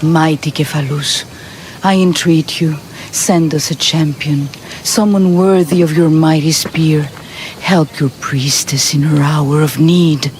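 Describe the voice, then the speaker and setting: An actress playing an earnest, coaxing temple priestess of ancient Greece in a video game. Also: female voice, sits low, steady tone, takes it slowly, darkish tone, slight background noise. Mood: reverent, imploring. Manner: earnest, storytelling. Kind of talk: video game cutscene acting.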